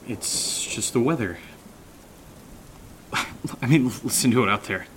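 A man's voice: medium pitch, animated, measured pace, a little hiss or hum, lightly acted, low-quality recording. An actor playing an anxious young man who stammers and mutters to himself in an audio drama. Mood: nervous muttering. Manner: jittery muttering, stammering, self-talk. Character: anxious, rambling young man nervously searching alone.

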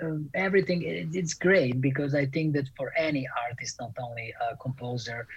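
A middle-aged man with a Serbian accent, a composer and professor, answering interview questions in a halting, reflective way with many pauses. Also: medium pitch, husky, no echo, low-quality recording.

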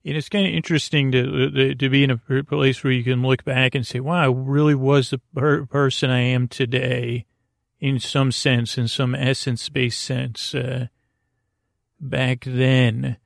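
Creaky-voiced man rambling a bedtime podcast. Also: mid-range voice, flat delivery, measured pace, dry recording, clean studio sound. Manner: meandering, hesitant, reassuring, gently goofy. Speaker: podcast host. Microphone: close to the microphone.

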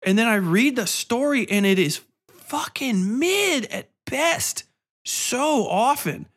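A man's voice: very high-pitched, expressive, slow, fairly bright tone. A man hosting a casual podcast, ranting bluntly and profanely in an exasperated, complaining way. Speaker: podcast host.

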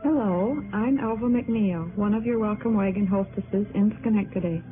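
A woman's voice: mid-range voice, normal speed, phone-quality sound, music in the background. A woman reading a scripted 1960s radio commercial as a community hostess.

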